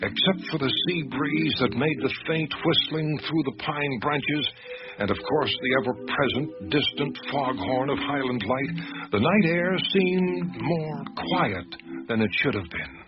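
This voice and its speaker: An actor playing an anxious, literate male doctor who tells an eerie mystery in a radio drama, with measured, expressive delivery. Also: mid-range voice, over music, close to an everyday voice. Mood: uneasy, atmospheric. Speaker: narrator and lead character. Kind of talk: first-person radio drama narration and dialogue. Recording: noisy, dull radio drama recording.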